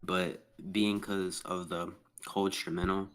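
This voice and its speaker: A man on the panel quietly giving his score in a soft, unsure way. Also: deep voice, flat delivery, unhurried. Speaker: panel judge.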